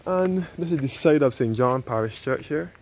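A man's voice: medium pitch, animated, normal speed, creaky, darkish tone. A man narrating an informal walking tour outdoors, often repeating and restating his phrases as he explains. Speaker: travel vlogger giving a walking tour. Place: outdoors in a churchyard on a cliff. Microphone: handheld phone camera, held by the speaker. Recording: dull lo-fi outdoor phone audio, background noise.

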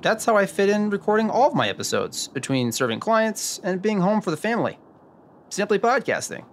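A man's voice: sits high, sing-song, brisk, slight background noise. A man playing an earnest, wry detective narrator in an old-time noir radio drama. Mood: earnest, unruffled. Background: music under the voice.

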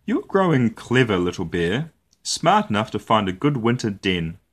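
Man voicing a gentle, animated storybook daddy bear. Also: on the low side, normal speed, breathy edge. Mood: encouraging. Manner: encouraging, tender, emphatic. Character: gentle, encouraging grown-up father bear.

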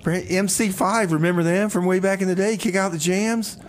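A man's voice: high voice, steady tone, a little fast. Folksy guy hosting local radio, rambles and repeats himself, jokey, bit of noise behind him. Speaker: radio show host. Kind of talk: casual community radio patter with comedy bits.

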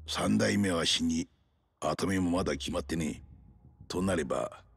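A weary middle-aged detective in a crime game cutscene. The voice is low and husky, and he lays things out bluntly in rough masculine speech. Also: a little slow, faint music behind, full character voice. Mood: grim, explaining. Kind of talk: dramatic video game cutscene acting.

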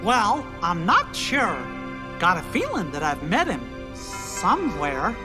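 A squeaky cheerful cartoon mouse. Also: male voice, slow pace, exaggerated voice. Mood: earnest. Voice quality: high squeaky falsetto. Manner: earnest, friendly, excitable. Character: cheerful small cartoon mouse king, loyal. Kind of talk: video game voice acting. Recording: game audio with loud music underneath.

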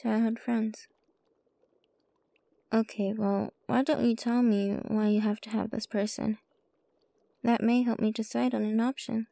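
A woman playing a sly, playful witch shopkeeper with a soft heart in an ASMR roleplay, coy and teasing as she addresses the listener. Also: fairly high pitch, level tone, unhurried, vocal fry, pauses often, no echo. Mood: sympathetic. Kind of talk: ASMR roleplay, scripted character monologue.

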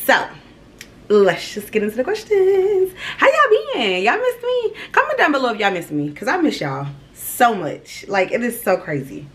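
A young woman in her twenties from Ohio, telling her story to viewers in a casual, animated vlog and calling them "y'all". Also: a little high, normal speed. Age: 20s. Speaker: vlogger. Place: small indoor room.

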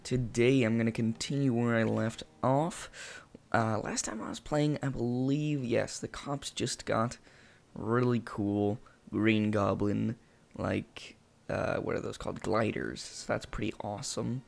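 A man casually commenting as he plays a video game, chatty and talking himself through each move, his voice gravelly after just waking up. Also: medium pitch, fairly even intonation, unhurried. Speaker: gameplay commentator. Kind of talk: casual let's-play commentary. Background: game music and sound effects.

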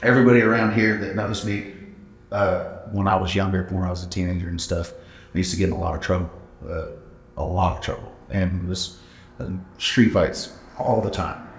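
A man in his thirties from Texas, a gym owner answering interview questions in a plain-spoken, halting way with many fillers. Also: low voice, steady tone, slight background noise. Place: large indoor gym. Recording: echoey gym room.

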